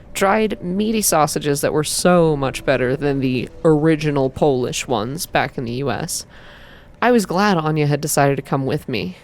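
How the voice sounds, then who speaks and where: A woman reading a visual novel aloud as measured, unhurried ASMR narration. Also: sits low, slight background noise. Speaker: ASMR reader and game player. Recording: music behind the voice. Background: background music.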